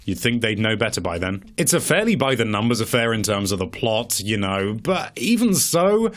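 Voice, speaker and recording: A man's voice: lowish voice, big pitch swings, moderate pace, fairly bright tone. A man presenting a countdown-style video in a chatty, casual way, with wry, tongue-in-cheek commentary.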